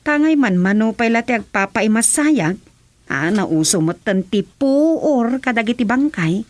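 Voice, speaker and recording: High-voiced chatty Ilocano townswoman. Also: lively intonation, unhurried, dry recording. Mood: interested, approving. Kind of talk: Ilocano radio comedy drama dialogue.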